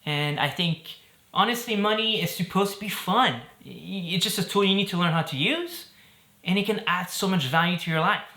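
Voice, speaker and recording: A man's voice: sits high, moderate pace, pushed and bright, roomy sound. A man from Belgium in his thirties, hosting a casual talk to camera in an upbeat, chatty way. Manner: upbeat and chatty, with fillers and jokes. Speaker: host.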